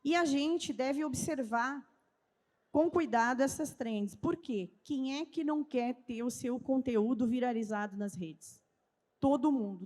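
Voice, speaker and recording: A woman's voice: fairly high pitch, a little mellow. A woman with a southern Brazilian gaúcho accent giving a didactic conference talk, asking questions and answering them herself. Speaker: speaker at a trade fair. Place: large event hall with a live audience. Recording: long hall reverb.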